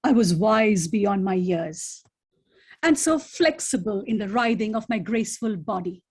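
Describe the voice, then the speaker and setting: A woman playing a seductive, self-admiring, sinuous creature in a dramatic stage monologue, coaxing the listener in a hushed, deliberate way. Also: medium pitch, expressive, breathy edge.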